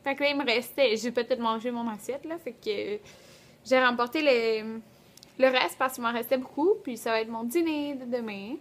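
A woman's voice: a little high, normal speed. A woman in her twenties with a Quebec French accent, chatting colloquially to camera in a casual vlog, recorded indoors on a handheld camera. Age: 20s. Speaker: beauty vlogger. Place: small rooms at home, indoors. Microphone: camera at arm's length.

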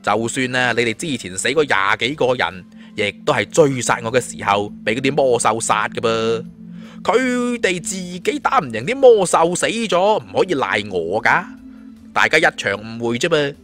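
Man playing a glib, animated Cantonese young hero. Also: medium pitch, normal speed, lightly acted. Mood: glib, persuasive. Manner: taunting, glib, casual. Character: confident, cunning young male martial-arts hero. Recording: music under the voice.

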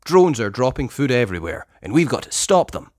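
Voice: medium pitch, very animated, brisk, darkish tone, no echo, clean studio sound, natural voice. A storybook reader voicing a confident, encouraging boy who leads rescue pups. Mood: commanding. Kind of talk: children's storybook character voice.

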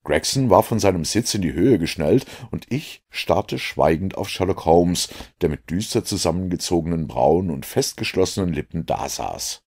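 Very deep, gravelly male narrator. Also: darkish tone. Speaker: audiobook narrator. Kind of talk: scripted narration.

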